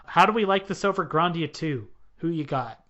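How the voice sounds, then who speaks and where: A man's voice: a little high, animated, moderate pace, pushed and bright, slight background noise. A man hosting a casual podcast chat, rambling and joking with many hesitations. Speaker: podcast host. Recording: dull lo-fi podcast audio.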